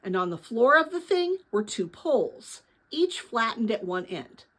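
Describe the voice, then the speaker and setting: Higher-pitched woman reading a story aloud. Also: sing-song, a little slow, fairly bright tone. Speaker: read-aloud host. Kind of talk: dramatic storybook read-aloud.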